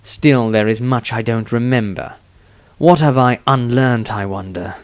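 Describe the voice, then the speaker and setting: A menacing, hollow adventurer confiding in his diary, acted out by a man. He talks very slowly in terse, halting phrases at a middle pitch, in a fantasy audiobook style. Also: smooth, dry recording, lightly acted. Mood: brooding, empty. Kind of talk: fantasy audiobook character reading.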